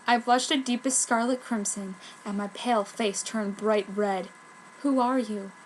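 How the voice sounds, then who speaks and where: A woman's voice: mid-range voice, steady tone, moderate pace, a little hiss or hum, poor audio. A woman reading fan fiction aloud as a dramatic narrator.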